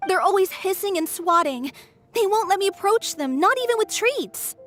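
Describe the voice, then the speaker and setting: A woman's voice: extremely high pitch, expressive, normal speed, a bit bright. A woman voicing an earnest, anxious young woman who rescues animals, speaking politely and eagerly as a game character. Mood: flustered. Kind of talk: English game dub voice acting.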